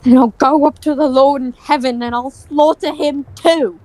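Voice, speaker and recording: A woman playing a bitter, grief-stricken young nobleman with a halting, intense delivery in an audio drama. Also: high-pitched, steady tone, darkish tone, no room sound, close to an everyday voice, low-quality recording. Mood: lost, vengeful.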